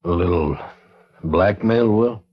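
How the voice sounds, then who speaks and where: A man's voice: low voice, slow, breathy edge, heavily acted. An actor playing a cautious small-town man who hedges and speaks defensively in a vintage radio drama. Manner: hesitant, defensive. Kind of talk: 1950s radio acting. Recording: dull lo-fi old radio broadcast.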